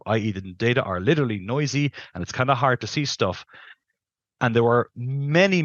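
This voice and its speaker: A man's voice: medium pitch, fairly bright tone, dry recording, muffled recording. A middle-aged man, a scientist being interviewed, explains things informally and emphatically, rephrasing for precision on a headset mic. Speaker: planetary scientist, interview guest. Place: indoors on a video call.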